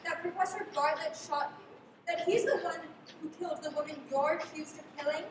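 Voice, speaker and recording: A woman's voice: high-pitched, fairly even intonation, a little fast, natural voice. A young woman playing a skeptical, headstrong young lady in a live radio play, arch and indignant. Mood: mocking, skeptical. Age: young adult. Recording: echoey, noisy livestream, distant mic.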